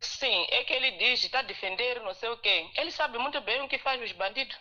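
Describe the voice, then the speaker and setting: A woman's voice: a little high, brisk, pushed and bright. A woman caller on a live phone-in show who is not a native Portuguese speaker, arguing her point insistently and repeating herself. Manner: insistent, repetitive. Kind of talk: phone-in call. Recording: muffled phone line.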